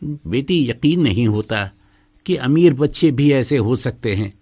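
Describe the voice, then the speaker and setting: A man's voice: mid-range voice, animated, unhurried, darkish tone, husky. A man playing a kindly middle-aged father of a schoolgirl in an acted moral story. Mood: moved, wondering.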